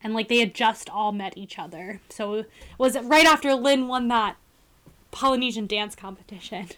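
Podcast co-host, woman, slightly higher voice, relaxed conversational delivery. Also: moderate pace. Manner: conversational, uses "like" often, sure of herself. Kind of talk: casual movie podcast chat.

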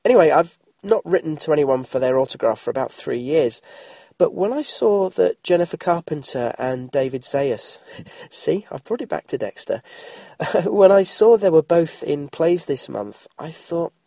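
A man's voice: on the high side, expressive, moderate pace, creaky, warm tone, dry recording. A middle-aged man with an English accent, bunged up and throaty with a cold, chatting informally as a solo podcast host with wry, self-deprecating asides. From England. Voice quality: congested, throaty.

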